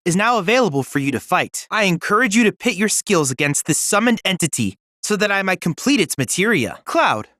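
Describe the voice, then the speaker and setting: Android boy assistant, polite, bookish, eager, animated intonation, dry close sound, video game dialogue. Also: sits high, a little fast, hardly any pauses, no room sound, heavily acted. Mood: encouraging, formal. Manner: formal, precise, courteous, bookish diction.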